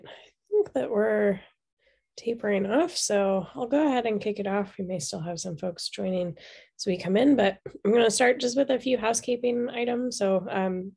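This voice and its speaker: A woman's voice: mid-range voice, creaky, mellow, breathy edge. A woman hosting a webinar over a video call who is losing her voice, running through housekeeping with many ums. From Seattle. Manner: casual, many filler ums. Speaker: webinar host. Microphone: video call microphone.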